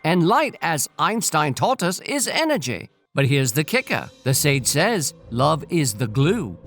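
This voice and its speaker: A man's voice: a little high, fairly bright tone. A man telling a scripted story and adding playful, theatrical commentary as host.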